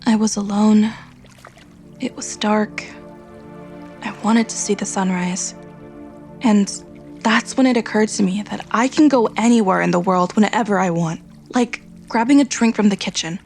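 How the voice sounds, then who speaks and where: A woman's voice: mid-range voice, moderate pace, pauses often, a little mellow, faint music behind, lightly acted. An actress playing a restless, withdrawn young woman in a naturalistic audio drama, subdued but resolute. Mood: subdued, wistful.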